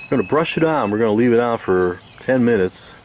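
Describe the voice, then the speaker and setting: A man giving a casual hands-on restoration demonstration, musing aloud in an unhurried, hesitant way as he works. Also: mid-range voice, expressive, a little hiss or hum. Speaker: radio restoration hobbyist.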